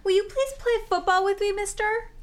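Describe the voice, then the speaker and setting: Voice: very high-pitched, fairly quick, a bit bright. A performer voicing a small, polite, pleading boy in a comic radio play.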